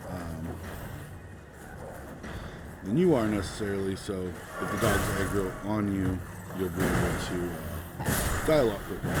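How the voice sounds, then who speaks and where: A man who is sick, giving casual, rambling commentary over his gameplay as a channel host. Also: deep voice. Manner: laid-back, rambling, many ums. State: sick, voice a bit off. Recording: loud background noise.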